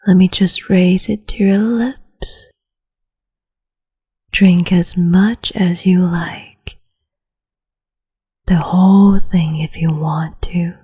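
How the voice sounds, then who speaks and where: A cruel, capricious young empress, played by a woman coos and whispers in a soft, breathy voice, taking her time over every word. Her tone is low and mellow, teasing and condescending as she dotes on her pet. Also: fairly even intonation, full character voice. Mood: cruel doting. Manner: slow, teasing, condescending, doting. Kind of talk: ASMR roleplay audio.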